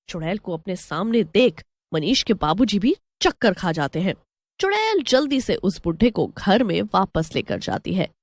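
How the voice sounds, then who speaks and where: A woman's voice: fairly low pitch, no room sound. A woman narrating a scripted horror story as a storyteller.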